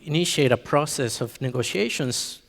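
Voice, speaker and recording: A man's voice: medium pitch, normal speed, gravelly, a bit bright. A man from Mexico giving a conference talk on a stage microphone, hesitant with many ums and restarts. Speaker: conference speaker. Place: conference hall. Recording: reverberant hall.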